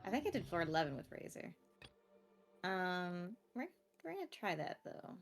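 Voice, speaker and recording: A woman's voice: fairly low pitch, very animated, moderate pace. A woman in casual gaming chat, thinking aloud in a tentative way as she goes.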